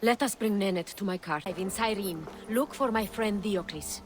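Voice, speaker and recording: An actress as a caring, earnest woman healer in a historical video game. Her medium-pitched voice is measured and sincere. Faint noise sits in the background. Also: close to an everyday voice. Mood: concerned. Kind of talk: dramatic video game acting.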